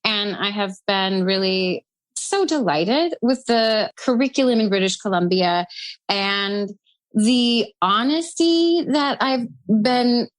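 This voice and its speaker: A woman's voice: mid-range voice, lively intonation, takes it slowly, dry recording. A woman in her forties from South Dakota, a guest writer, talking reflectively in long, flowing thoughts. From South Dakota, United States. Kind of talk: casual conversation.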